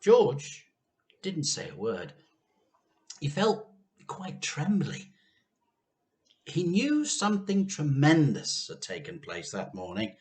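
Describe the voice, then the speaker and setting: A man reading a children's story aloud with expressive, theatrical narration and dramatic pauses. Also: medium pitch.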